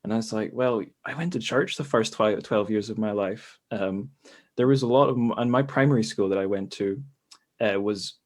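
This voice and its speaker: A man's voice: on the low side, moderate pace. A man hosting a casual podcast panel, thinking aloud in a conversational way. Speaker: podcast host.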